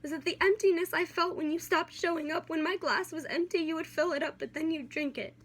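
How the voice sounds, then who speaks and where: A woman's voice: extremely high pitch, brisk. A young woman performing a slam poem in an earnest, rhythmic, dramatic way, as an amateur poet. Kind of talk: slam poetry recitation. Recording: amateur recording with light background noise.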